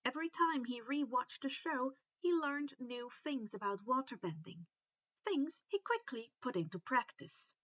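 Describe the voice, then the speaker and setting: A woman reading a story aloud as an animated narrator, in storybook style. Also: high-pitched, measured pace, no echo, muffled recording. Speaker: fan fiction narrator.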